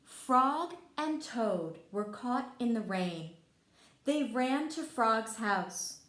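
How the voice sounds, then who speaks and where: Woman reading a picture book to little kids, really unhurried, expressive, echoey room. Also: a little high. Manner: expressive, simple child-directed talk. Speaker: pre-K read-aloud host. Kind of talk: storybook reading for young children.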